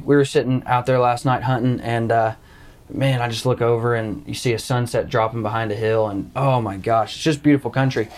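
A man's voice: mid-range voice, darkish tone. A young man from Arkansas hosting a hunting podcast in a casual, joking, rambling way. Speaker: podcast host. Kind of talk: casual chat.

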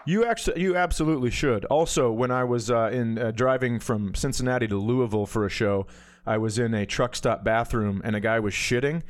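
A man's voice: mid-range voice, brisk. A middle-aged American man co-hosting a comedy podcast in casual, joking banter with profane, wry humor.

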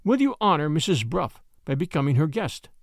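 A man as a measured, formal family lawyer. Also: fairly high pitch, big pitch swings, creaky, dry recording, clean studio sound. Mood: kindly formal.

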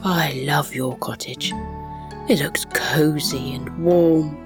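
A woman voicing a shy, gentle, polite storybook wolf who speaks softly and courteously over a bedtime tale. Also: sits low, slow, over music, pitched below the performer's own voice. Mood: wistful, friendly. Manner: soft-spoken, courteous.

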